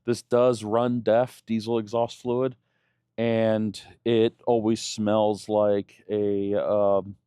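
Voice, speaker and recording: A man's voice: on the low side, flat delivery, takes it slowly, mellow, clean tone, no echo, clean studio sound. A man in his thirties, raised in Germany, talking casually in a vlog with many fillers and restarts. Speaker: channel host.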